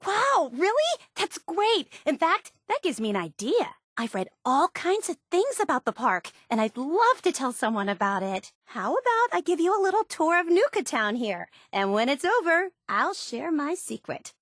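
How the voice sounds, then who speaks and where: Game role: gushing young woman superfan, high voice, very animated, geeky and earnest, dry studio sound. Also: normal speed, few pauses, dry recording. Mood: excited. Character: eager, obsessive young woman soda superfan and tour guide. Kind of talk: video game character dialogue.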